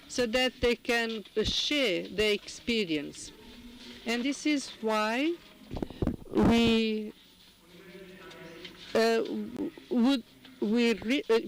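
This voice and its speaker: High-voiced man interpreting haltingly. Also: a little hiss or hum, rough recording. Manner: halting, with frequent restarts and fillers. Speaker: simultaneous interpreter. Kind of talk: interpreting a speech at a formal meeting.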